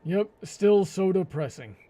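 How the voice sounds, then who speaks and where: A man hosting a live panel discussion, with a hesitant, halting delivery that often stammers and repeats words. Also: high-pitched, lively intonation, crowd noise. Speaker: host.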